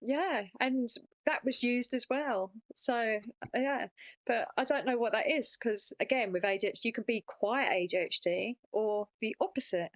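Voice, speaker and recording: A woman in a casual podcast conversation, talking fast and excitedly. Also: a little high, dry recording. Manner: fast, animated, excited talk. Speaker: podcast guest.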